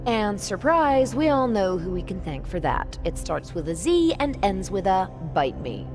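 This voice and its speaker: Bubbly, wildly animated cheeky sidekick. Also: female voice, mid-range voice, measured pace, music in the background. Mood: flippant. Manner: flippant, bubbly, slangy. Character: perky, cheeky, sarcastic female sidekick fighter. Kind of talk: fantasy video game cutscene acting.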